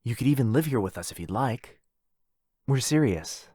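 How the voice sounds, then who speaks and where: A man's voice: medium pitch, lively intonation, normal speed, warm tone, husky, no echo. Man playing a needy vampire brother, close and dry. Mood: eager, inviting. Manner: insistent, clingy, coaxing. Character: needy, lonely, possessive rich male vampire brother. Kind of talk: boyfriend ASMR roleplay acting.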